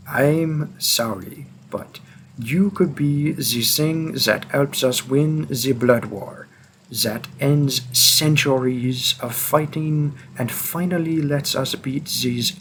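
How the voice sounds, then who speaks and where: Man voicing a slow, grim French-accented vampire hunter. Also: medium pitch, fairly bright tone, a little hiss or hum, rough recording. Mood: grim, determined. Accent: attempted French. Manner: slow, deliberate, halting intensity. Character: grim, driven male vampire who hunts vampires. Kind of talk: audio roleplay spoken to the listener.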